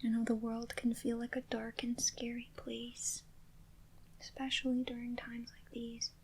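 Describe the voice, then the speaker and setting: A woman speaking in a soft, gentle whisper, reassuring a listener in a comforting ASMR roleplay. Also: on the high side, completely flat delivery, measured pace, a little hiss or hum.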